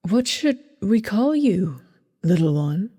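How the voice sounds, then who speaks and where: Woman as a slow, composed android woman. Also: medium pitch, big pitch swings, dark tone, husky, heavily acted. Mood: gentle. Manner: measured, formal. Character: composed, formal android woman, quietly tender. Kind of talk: radio drama acting.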